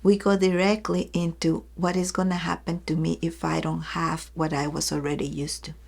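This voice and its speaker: A woman with a fairly low voice that breaks into gravelly fry explains an idea to educators. She speaks to her listeners directly and earnestly, acting out examples from everyday life. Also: level tone, moderate pace, darkish tone. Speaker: early childhood educator. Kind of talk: explanatory teaching talk.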